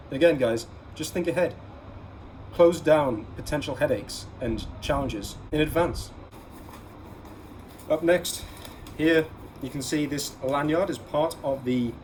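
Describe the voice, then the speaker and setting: A man's voice: medium pitch, darkish tone, poor audio. Man presenting gear over noticeable background noise. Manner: instructive, advising, stresses key words. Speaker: host of an everyday-carry gear vlog. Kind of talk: casual product presentation to viewers. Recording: clear background ambient noise.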